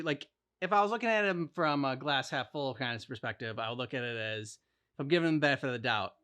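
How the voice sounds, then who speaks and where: A man's voice: mid-range voice, bright, forceful tone, smooth. Man in casual, rambling discussion. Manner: rambling, speculative, heavy use of "like". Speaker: co-host of a reaction channel. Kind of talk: casual chat, reacting to game cutscenes.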